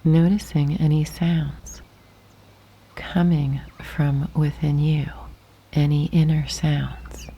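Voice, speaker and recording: A woman guiding a yoga nidra meditation in a soft, breathy half-whisper, gently and calmly, with long pauses. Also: deep voice. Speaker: meditation guide. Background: birdsong and nature sounds.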